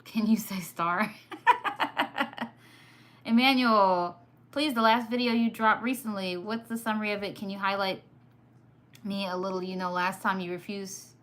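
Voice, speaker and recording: A woman from Sudan whose first language was Arabic, hosting a casual live stream, chatty and quick to laugh while reading comments. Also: mid-range voice, fairly even intonation, a bit bright. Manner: chatty, laughs often, teasing. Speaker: livestream host.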